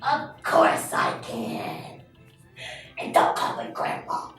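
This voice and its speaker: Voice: slow pace, a bit bright. A performer voicing a sly, hungry old witch in a puppet show, cackling and feigning grandmotherly sweetness with stretched-out words. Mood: gleefully menacing. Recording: echoey room, distant mic, music behind.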